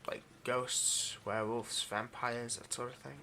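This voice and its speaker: A man acting out a male vampire who works as a lab technician, in an intimate ASMR roleplay. His voice is crisp and bright with a creaky edge, and he talks in a stammering, overly courteous way that hides an obsession. A faint noise sits behind him. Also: medium pitch, level tone, a little slow. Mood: secretive, earnest. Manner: hesitant, stammering, overly polite.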